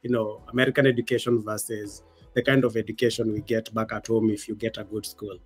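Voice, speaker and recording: A man's voice: on the low side, level tone, fast talker, slightly rough, soft background music. A man hosting a podcast, asking questions casually, bantering with his guest and finishing her sentences. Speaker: podcast host. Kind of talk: casual interview conversation.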